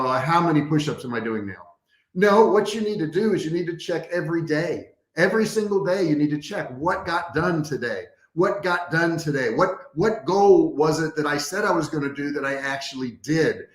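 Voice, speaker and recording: A man giving a motivational coaching talk to a live online audience, direct and conversational, repeating key points for emphasis. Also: a little high. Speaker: business coach, host of a livestream training. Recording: echoey, roomy sound.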